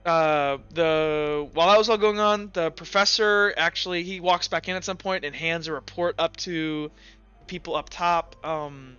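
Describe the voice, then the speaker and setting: A man with a fairly high, bright voice narrates a tabletop game as game master. He is casual and halting as he improvises. The audio is slightly dull, with faint music behind. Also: fairly even intonation, clean tone. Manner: halting, conversational.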